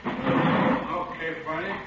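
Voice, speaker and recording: Brisk police desk clerk, medium pitch, matter-of-fact, echoey muffled old radio drama. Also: male voice, fairly even intonation, heavy background noise. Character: businesslike male police office clerk issuing weapons.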